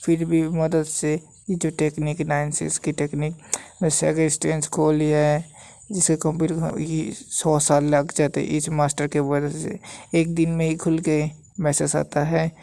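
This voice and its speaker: A man's voice: fairly high pitch, completely flat delivery, unhurried, gravelly, dark tone, no room sound. A man voicing a stubborn, cheeky young martial arts hero who talks bluntly and defiantly in a story recap. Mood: placating.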